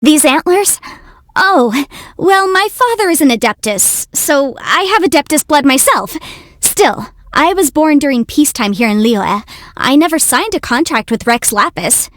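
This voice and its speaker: A woman voicing a young, quick-witted half-immortal legal adviser in a video game, articulate, self-assured and playful. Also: high voice, animated, fairly bright tone, no room sound, clean studio sound. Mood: confident, matter-of-fact. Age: young adult. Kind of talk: video game character voice lines.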